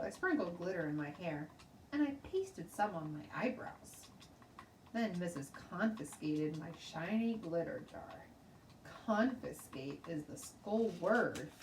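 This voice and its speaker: A woman teacher reading a children's storybook aloud in an expressive, deliberate way. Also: fairly low pitch. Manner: deliberate, animated read-aloud. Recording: roomy, distant mic, faint noise.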